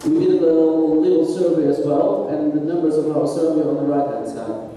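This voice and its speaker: Flat, hesitant man in an echoey hall. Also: a little high, fairly quick. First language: not Thai or Lao. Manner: flat, hesitant, many fillers. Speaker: group representative presenting. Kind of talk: slide presentation. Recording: echoey hall, far from mic.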